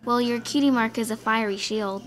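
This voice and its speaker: Woman playing a higher-pitched thoughtful female pony. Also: fairly even intonation, close to an everyday voice. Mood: pensive. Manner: measured, pensive. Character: thoughtful female pony, reserved and mildly skeptical.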